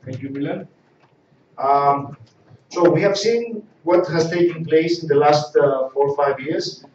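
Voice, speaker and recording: A man's voice: fairly high pitch, a little slow, darkish tone. A man taking over a corporate presentation, speaking with hesitant starts. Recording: echoey hall, distant dull mic, faint noise.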